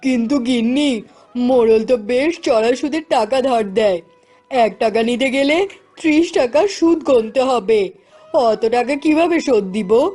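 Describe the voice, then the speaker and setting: A woman plays a poor village grocer man in a cartoon, tired and worried, with a mellow, fairly high voice. Faint music plays behind. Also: expressive, unhurried. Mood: weary. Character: poor male village grocer, weary and hungry.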